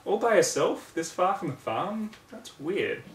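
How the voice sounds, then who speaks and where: A man's voice: sits high, lively intonation, measured pace, a little hiss or hum, voice pushed up. Storybook filly pony, read by a man: eager young ringleader, brash and bossy, recorded echoey from off the mic. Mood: puzzled. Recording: roomy, distant microphone in home room.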